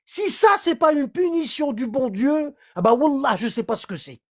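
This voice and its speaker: A livestreamer in casual commentary, explaining scripture in an animated way and often checking in with short questions to the listener. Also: high-pitched, moderate pace. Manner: animated, acts out little dialogues, keeps checking in with "hein?" and "d'accord?". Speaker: livestreamer explaining Quran verses.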